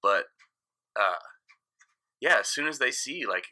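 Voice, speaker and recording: A man's voice: mid-range voice, lively intonation, unhurried, pushed and bright. A man in his late thirties, a DJ guest in casual podcast chat, talking frankly and rambling, often trailing off and restarting. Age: 30s.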